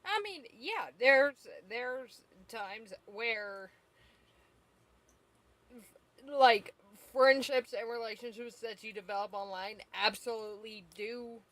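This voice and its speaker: A woman's voice: fairly high pitch, fairly bright tone, no room sound, low-quality recording. A woman co-hosting a casual livestream chat, speaking earnestly with stumbling starts. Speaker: show co-host.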